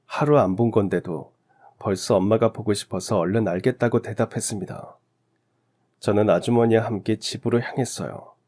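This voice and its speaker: A man narrating a scripted first-person radio story in a measured, sentimental manner. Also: lowish voice, steady tone, darkish tone.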